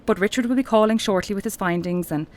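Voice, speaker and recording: A woman playing a dedicated archeology professor in an audio horror drama, speaking in an articulate, measured, scholarly manner. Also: mid-range voice, close to an everyday voice. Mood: reassuring, brisk. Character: dedicated female archeology professor, affectionately commanding, increasingly entranced. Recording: music bed behind the voice.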